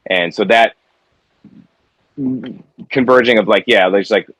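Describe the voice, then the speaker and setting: A man from the United States, a guest musician, talking in a reflective, halting way with many restarts. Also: lowish voice, fairly bright tone, dry recording, low-quality recording. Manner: reflective, halting with repeated starts. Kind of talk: casual conversation.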